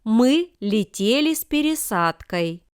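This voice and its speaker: A woman reads short example sentences for a language lesson. Her delivery is slow and deliberate, with every word carefully formed. The voice is crisp and smooth, in a dry recording with no room sound. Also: fairly high pitch, sing-song, no room sound. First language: Russian. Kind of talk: scripted example sentences read for learners.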